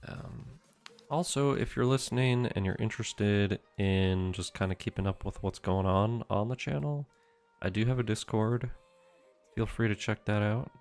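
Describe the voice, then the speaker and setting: A young man streaming a game from home, chatting with his viewers in a soft, meandering way. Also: on the low side, moderate pace. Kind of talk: casual gameplay commentary.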